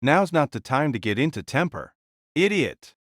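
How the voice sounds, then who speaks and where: A man's voice: a little high, sing-song, moderate pace, a bit bright, no echo, studio quality. A man playing a big, frank, protective warrior king who sighs and admonishes in an audio drama. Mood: exasperated.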